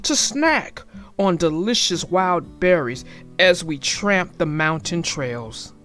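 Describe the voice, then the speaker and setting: A woman's voice: on the low side, animated, takes it slowly, a bit bright, faint music behind, voice pushed down. A woman voicing a boastful, enthusiastic father bear from a storybook in a grand, hearty, confident manner. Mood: dreamy, grand. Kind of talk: storybook character voice.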